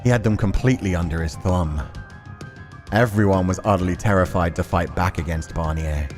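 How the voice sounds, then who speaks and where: A man's voice: deep voice, a little fast, soft background music, close to an everyday voice. A man reading the part of a bitter, ambitious man from the slums, telling his past grimly in a visual novel.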